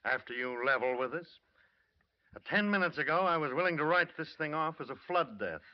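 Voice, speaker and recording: An actor playing a blunt, insistent American police lieutenant in old-time radio drama style. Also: male voice, fairly high pitch, expressive, a little fast, natural voice. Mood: firm, pressing. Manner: insistent, terse, hard-nosed. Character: blunt, pressing male police lieutenant questioning a witness. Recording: muffled old radio broadcast recording.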